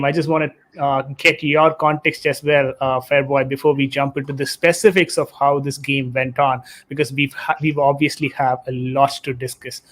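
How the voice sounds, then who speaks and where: A man hosting a casual live football stream, reading out chat messages with many fillers. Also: on the high side. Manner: reads chat messages aloud, hesitant with fillers.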